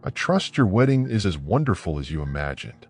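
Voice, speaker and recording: A man voicing a wealthy aunt who talks sweetly but coldly, with quiet menace, in a folktale. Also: deep voice, very animated, fairly quick, slightly rough, soft background music, full character voice. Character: cold, sweet-talking, menacing rich aunt.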